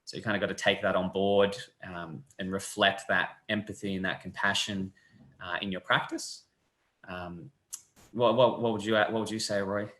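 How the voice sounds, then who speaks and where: A young man, a physiotherapy lecturer explaining the course in a relaxed, friendly way over a video call. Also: sits low, moderate pace. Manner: relaxed, friendly, loose fillers. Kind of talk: casual explanatory chat. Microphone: webcam on a video call. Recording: echoey room.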